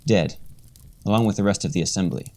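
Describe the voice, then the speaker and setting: An actor playing a cool, all-seeing villain strategist in a radio play, speaking in formal, measured phrases. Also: low voice, darkish tone, natural voice. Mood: solemn, scheming.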